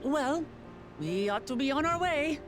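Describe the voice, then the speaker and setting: An actress playing an earnest, humble young royal heroine with a sincere manner in a video game. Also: female voice, sits high, expressive, a little slow, music in the background. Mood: apologetic, fond. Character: young female royal claimant, earnest, humble, warm-hearted. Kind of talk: scripted video game dialogue.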